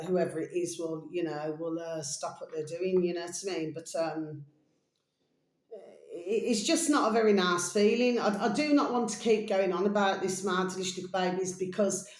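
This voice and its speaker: A tired woman video blogger chatting casually and ramblingly to her viewers, pausing often and repeating pet endearments. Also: lowish voice. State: mentally tired, drained. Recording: echoey, roomy sound.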